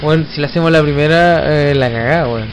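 A Chilean man with a fairly high voice leads his friends through an online shooter. He talks casually, full of slang and swearing, with game music clearly playing behind him. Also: brisk. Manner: profane, slangy. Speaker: online co-op gamer leading the team. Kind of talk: casual gaming chat with team callouts. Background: zombie shooter game audio with music.

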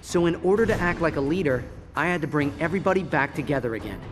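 A man's voice: a little high, expressive, quick pace, a little hiss or hum, natural voice. An actor playing an earnest young male turtle leader, recounting events reflectively. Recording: game cutscene over music.

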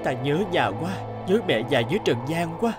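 An actor playing a kind, eager young male woodcutter and devoted son in an animated fairy tale. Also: a little high, fairly quick, natural voice. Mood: wistful. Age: young adult. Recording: music behind the voice.

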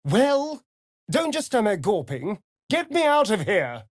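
A man's voice: high-pitched, wildly swooping pitch, unhurried, no room sound, studio quality, heavily acted. A male actor with an English accent voicing a sardonic, seductive, vain vampire rogue in a video game, with an arch, flamboyant drawl. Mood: mocking. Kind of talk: theatrical video game dialogue.

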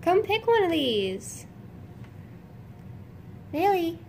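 A woman's voice: extremely high pitch, very animated, extremely slow, a little hiss or hum. A young woman beauty vlogger with a sick, nasal voice, chatting casually in her bedroom while doing her makeup, rambling with lots of fillers. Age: young adult. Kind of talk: casual makeup tutorial chat. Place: bedroom at home, indoors.

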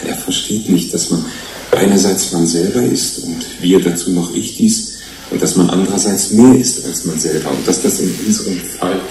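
An actor playing a thoughtful, skeptical human-fish hybrid in a German radio play, measured, probing and slightly pedantic. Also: male voice, low voice, fairly even intonation, warm tone, close to an everyday voice. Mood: resigned. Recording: roomy sound with faint noise.

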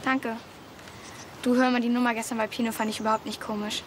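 A woman's voice: a little high, flat delivery, quick pace, close to an everyday voice. A young actress playing a hurt, curt teenage girl in a naturalistic TV drama, outdoors. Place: garden yard, outdoors. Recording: noisy outdoor ambience.

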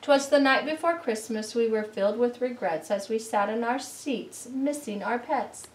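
A middle-aged woman reading a rhyming picture book aloud to children in an expressive, sing-song way. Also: on the high side, moderate pace, slight background noise. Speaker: read-aloud storyteller for children. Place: room at home beside a Christmas tree. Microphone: camera mic at some distance. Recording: roomy, distant camera recording.

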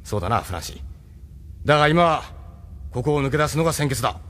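An actor playing a pragmatic, firm spaceship crew leader in a Japanese audio drama, blunt and comradely in manner. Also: male voice, on the high side, animated, fast talker, fairly bright tone. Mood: firm, resigned.

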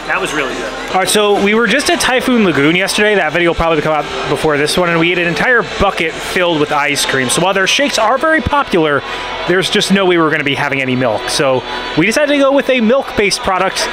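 High-voiced man reviewing food. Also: low-quality recording. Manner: enthusiastic, describes dishes in detail. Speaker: food vlogger. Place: indoor restaurant table.

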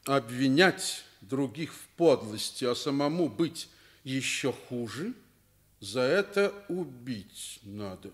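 Strict soviet principal in a radio play, bright forceful voice, very expressive pitch. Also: male voice, takes it slowly, slightly rough, heavily acted. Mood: firm. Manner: emphatic, commanding, articulate. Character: stern, principled male Soviet school principal. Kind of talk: mid-century Soviet radio play acting.

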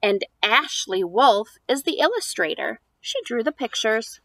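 High-voiced lady teacher doing a slow read aloud for little kids, super up and down, sing-song. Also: lively intonation, no room sound. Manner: exaggerated sing-song child-directed delivery with questions. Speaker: preschool teacher. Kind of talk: read-aloud for young children. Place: outdoors on a house porch.

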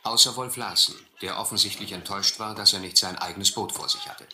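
Earnest gentleman narrating a sea adventure, lowish very crisp voice, roomy old radio drama. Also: brisk. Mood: grave, reflective. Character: earnest, reflective gentleman castaway narrating his ordeal. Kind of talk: dramatic scripted narration, German radio play. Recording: roomy, faintly hissy old radio play.

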